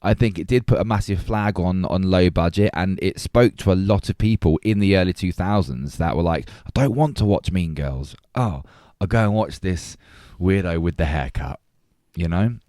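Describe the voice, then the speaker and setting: A man around forty co-hosting a casual film discussion, opinionated and animated in his delivery. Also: low-pitched, moderate pace, no echo, studio quality. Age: 30s or early 40s. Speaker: podcast co-host.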